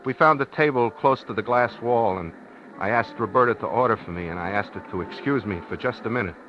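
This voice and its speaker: A man's voice: lowish voice, fairly quick, lightly acted. An actor playing a plainspoken, anxious, guilt-ridden man in a 1950s radio drama. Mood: wistful. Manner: plainspoken, folksy, wistful storytelling. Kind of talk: 1950s radio drama acting with first-person narration. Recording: muffled 1950s radio recording with music.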